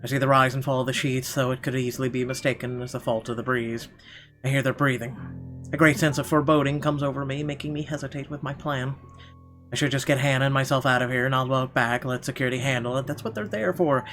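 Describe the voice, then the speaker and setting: A man's voice: mid-range voice, fairly even intonation, quick pace, fairly bright tone. A man reading visual novel narration aloud in a theatrical way, now and then stumbling over words. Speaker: gaming video host. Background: game music.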